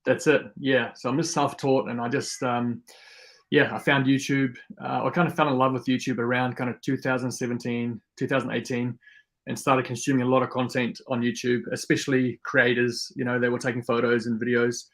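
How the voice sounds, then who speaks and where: A man from South Africa who grew up speaking Afrikaans chats as a podcast guest. His voice sits at an ordinary middle pitch. He talks in a relaxed, friendly way and fills pauses with ums and uhs. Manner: relaxed and friendly, many fillers. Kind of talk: casual interview chat.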